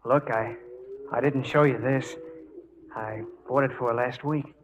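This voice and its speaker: An actor playing a shy, good-natured young cowboy, boyish, modest and folksy, in a 1940s radio western. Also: male voice, mid-range voice, steady tone, unhurried, natural voice. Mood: bashful, cheerful. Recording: muffled, faintly noisy 1940s radio broadcast.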